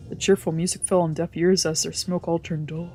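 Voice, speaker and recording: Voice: mid-range voice, flat delivery, a little fast, mellow. A streamer reading a visual novel aloud as brooding first-person dramatic narration, with music behind. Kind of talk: dramatic narration with character voices.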